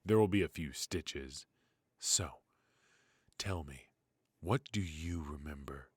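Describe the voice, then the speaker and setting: A man playing a weary, ruthless yet fatherly mafia boss in an intimate ASMR roleplay, with a low, husky, breathy voice and a wry, sighing delivery. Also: no room sound, heavily acted. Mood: dry, probing. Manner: slow, pausing, sighing, wry.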